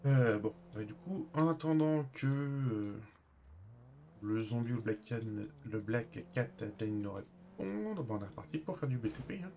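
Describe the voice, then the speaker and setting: Male game streamer, medium pitch. Informal, halting, improvised delivery. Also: lively intonation, dark tone, a little hiss or hum. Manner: hesitant, halting, trailing off. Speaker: live streamer playing a role-play game. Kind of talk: casual live-stream chat and improvised role-play.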